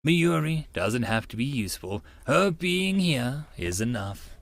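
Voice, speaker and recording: Theatrical narrator with very animated delivery. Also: mid-range voice, unhurried, dry recording. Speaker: audiobook narrator. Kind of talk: dramatic first-person reading of a visual novel.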